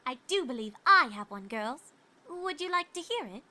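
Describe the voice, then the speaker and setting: Woman acting a high, bright, dramatic lady unicorn. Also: big pitch swings, moderate pace, full character voice. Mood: genteel. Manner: theatrical, genteel, calls others darling. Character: refined, dramatic young female unicorn pony, genteel. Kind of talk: fan fiction character voice acting.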